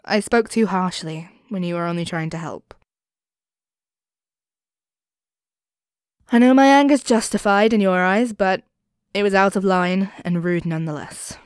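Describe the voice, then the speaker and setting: A woman playing a cocky young commoner thief in an expressive, sardonic audio roleplay, speaking straight to the listener. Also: mid-range voice, moderate pace, clear, resonant tone, no room sound, clean studio sound, natural voice. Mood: apologetic, softened. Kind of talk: audio roleplay acting, one-sided dialogue addressed to the listener.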